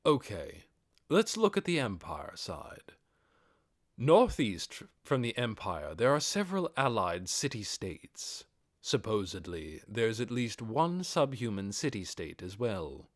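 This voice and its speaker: Male reader as fantasy audiobook undead overlord: low, measured, commanding, explanatory. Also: sing-song, no room sound, clean studio sound, full character voice. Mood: serious, explaining.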